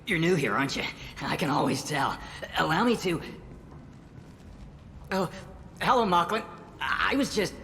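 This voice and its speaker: Oily alien scavenger: servile, ingratiating game character in a reverberant space. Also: male voice, on the high side, expressive, normal speed, gravelly, pauses often, sharp tone, full character voice. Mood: nervous, servile. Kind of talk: video game voice acting. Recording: echoey space, faint noise.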